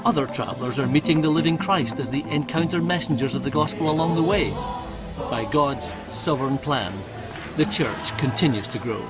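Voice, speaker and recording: A man reading scripted documentary narration in a measured, earnest way. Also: on the high side. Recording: music bed underneath.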